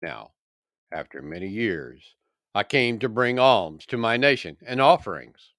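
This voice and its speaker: Man reading as an earnest, measured accused apostle. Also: fairly low pitch, very animated, fairly bright tone, no echo, studio quality, exaggerated voice. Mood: earnest, defensive. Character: composed, earnest accused male apostle defending himself.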